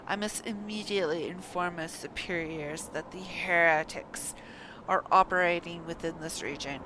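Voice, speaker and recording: A woman's voice: fairly low pitch, normal speed, noisy background, natural voice. A woman voicing a wounded male knight from a video game, earnest and embittered. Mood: grim, resolved. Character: wounded, grateful male knight, bitter toward heretics. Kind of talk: reading video game dialogue aloud.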